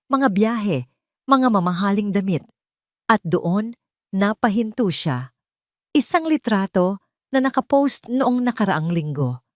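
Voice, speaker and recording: Female Filipino storyteller for audio drama; lowish register, highly animated pitch, dry close sound. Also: dry recording.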